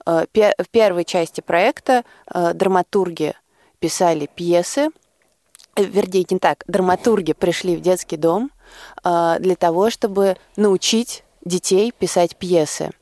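A woman guest in a radio studio, explaining a theatre project haltingly and correcting herself along the way. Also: lowish voice, warm tone, no room sound. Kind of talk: explanatory interview talk.

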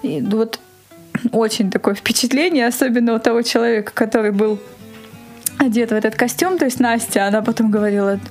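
A woman giving a casual, animated account in an interview as an event organiser, telling it in short repeated phrases. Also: on the high side, fairly quick, soft background music.

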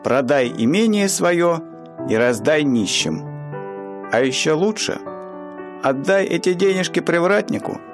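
A man narrating a radio play in a slow, wry storytelling manner. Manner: slow, wry storyteller with wide swings in pitch.

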